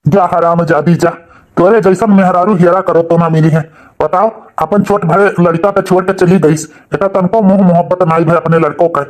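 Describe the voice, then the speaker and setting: A man's voice: high-pitched, steady tone, moderate pace, natural voice. A man playing an aggrieved, plain-spoken young village father who grumbles and exclaims in a comic cartoon, with an Awadhi accent. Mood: angry.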